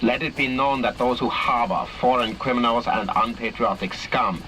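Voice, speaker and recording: A man's voice: medium pitch, steady tone, normal speed, sharp tone, a little hiss or hum, natural voice, rough recording. An actor playing a pompous, menacing dictator giving an oratorical address to his people in a video game. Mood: imperious, scornful. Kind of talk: propaganda broadcast in a video game.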